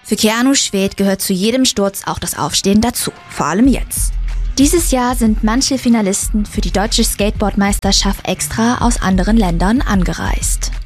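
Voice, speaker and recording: A woman reporter narrating a scripted news feature voice-over in a smooth, even way. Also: medium pitch, moderate pace, faint music behind.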